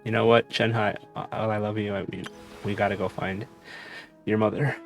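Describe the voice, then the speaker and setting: A man giving casual gameplay commentary as a streamer, sometimes reading game text aloud, with a gravelly voice. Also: fairly low pitch, level tone, moderate pace. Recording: faint game music behind. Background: game music.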